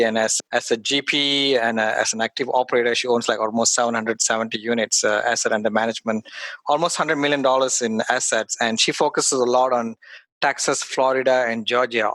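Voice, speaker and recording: A man's voice: mid-range voice, fairly bright tone, no echo. A man hosting an interview, eager and repeating words often. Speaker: podcast host. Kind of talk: interview talk.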